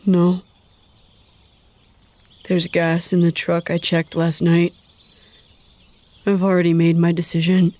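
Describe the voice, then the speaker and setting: An actress playing a sad, apologetic young woman who speaks quietly and haltingly, sometimes in a whisper. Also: fairly low pitch, completely flat delivery. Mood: sorrowful. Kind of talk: audio drama acting.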